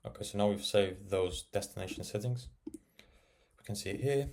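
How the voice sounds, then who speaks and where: A man narrating a casual software tutorial, explaining and thinking aloud as he works. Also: on the low side, moderate pace, vocal fry. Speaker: tech tutorial presenter.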